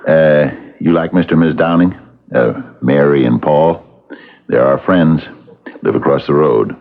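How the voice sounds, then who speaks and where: A man's voice: a bass voice, a little slow. An actor in an old-time radio drama playing a genial married man, coaxing and soothing in a folksy, hesitant way. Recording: muffled old radio broadcast, music behind.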